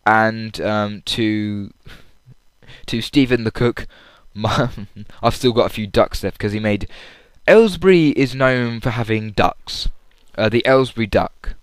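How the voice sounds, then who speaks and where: A man with a fairly low, clean voice chats casually to himself on a personal audio log. He rambles through his story in an informal way, and the recording is close and dry with little room sound. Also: a little slow, clear, resonant tone, no room sound. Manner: rambling, acts out quoted lines loudly. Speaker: audience member recapping a comedy show.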